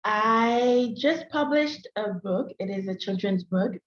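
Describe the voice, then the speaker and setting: A woman whose first language is French, giving an earnest, hesitant, informal pitch as a guest author at an online meeting. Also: lively intonation, slow pace, a little hiss or hum. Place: online video call. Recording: roomy, slightly dull video-call audio.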